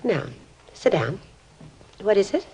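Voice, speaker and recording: An actress playing a distraught, disbelieving middle-aged American mother in an old radio crime drama, with a breathy, fragile voice. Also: mid-range voice, sing-song, slow, darkish tone, full character voice. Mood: wary, puzzled. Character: distraught, grieving mother of a teenage boy, disbelieving.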